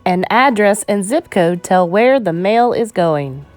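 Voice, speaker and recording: A woman reading an educational children's picture book aloud in a measured, clearly phrased storytime delivery. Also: medium pitch, expressive, a little mellow, faint music behind.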